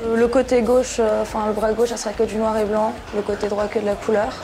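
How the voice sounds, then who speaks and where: A woman's voice: mid-range voice, level tone, quick pace, noisy background. A twenty-year-old woman from Portugal, talking casually and hesitantly in an interview, full of fillers and restarts. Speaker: interviewee, tattoo shop piercer.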